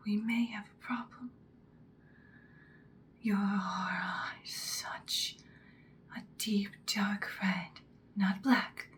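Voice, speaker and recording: A woman voicing an imperious, seductive ancient vampire in a breathy, dramatic whispered ASMR roleplay. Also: mid-range voice, steady tone, a bit bright. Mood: wary, intrigued. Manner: slow, deliberate, dramatic. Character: ancient female vampire, seductive, imperious, menacing yet protective.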